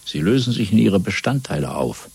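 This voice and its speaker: A man playing a cold, fanatical Party interrogator in a radio drama, speaking in a measured, domineering, rhetorical way. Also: fairly low pitch, big pitch swings, creaky, darkish tone, slightly rough, no echo, exaggerated voice. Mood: cold, cruel. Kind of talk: German radio drama acting.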